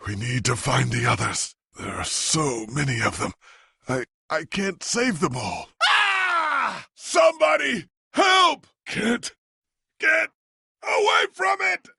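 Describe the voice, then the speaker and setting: An actor playing a noble, ageing adventurer hero in a video game, solemn and earnest, with a gravelly, husky voice and a grave, formal, dramatic delivery. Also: male voice, high-pitched, sing-song, slow pace, sharp tone, no room sound, shouting, studio quality, heavily acted. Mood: urgent, then desperate shouting.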